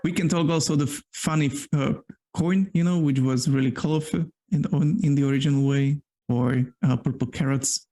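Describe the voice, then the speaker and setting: Guy, bit of a fry in the voice, rambly explainer on a chill podcast. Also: a little high, fairly even intonation, a little fast, dark tone. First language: Slovak. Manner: explains at length with many restarts. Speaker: podcast co-host. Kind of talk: casual chat.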